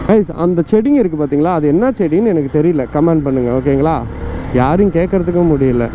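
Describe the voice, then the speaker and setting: A man's voice: on the high side, fast. A young man with a Tamil accent chatting playfully to his viewers while riding a motorcycle, speaking through a helmet mic with the visor open. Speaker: motovlogger. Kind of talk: casual chat while riding. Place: on a motorcycle on open roads outdoors. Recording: muffled with loud wind and traffic noise. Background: wind, engine and traffic.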